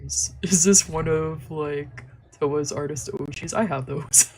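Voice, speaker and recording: Low-pitched young woman, casual streamer. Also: a little slow, a little mellow, slight background noise, poor audio. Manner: casual, slangy, sweary. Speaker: streamer reading a visual novel aloud. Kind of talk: casual let's play commentary with read-aloud narration. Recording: music behind the voice.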